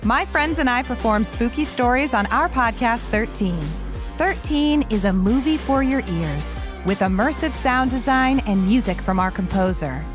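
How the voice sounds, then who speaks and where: A woman's voice: a little high, expressive, normal speed, music in the background. A woman hosting a podcast, reading a scripted promo. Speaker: podcast host.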